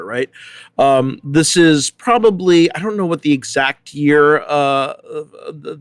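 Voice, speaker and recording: A man on a discussion panel, talking casually and thinking aloud in a halting, hedging way. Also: on the high side, dry recording. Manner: halting, hesitant delivery full of hedging phrases.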